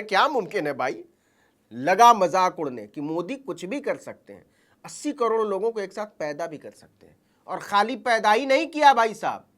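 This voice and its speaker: A woman commentator delivering satirical political commentary. Her voice sits in a middle register, but it swoops up and down a great deal. She sounds mocking and theatrical. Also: medium pitch. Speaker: news commentator.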